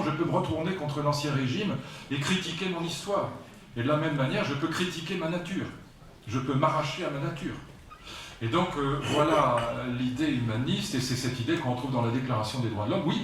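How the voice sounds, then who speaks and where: A man's voice: fairly high pitch, slight background noise. A man in his sixties from France giving a public philosophy lecture, talking in a digressive, emphatic way with asides to the audience. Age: 60s. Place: lecture hall. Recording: very echoey hall, voice picked up from far off.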